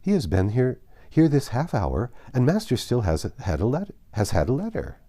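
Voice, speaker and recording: A man reads as an anxious female housekeeper in a classic novel, polite and deferential to her betters. The voice is mellow, with pitch that swings widely in eagerness. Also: huge pitch swings, moderate pace. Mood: astonished. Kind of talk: audiobook character dialogue.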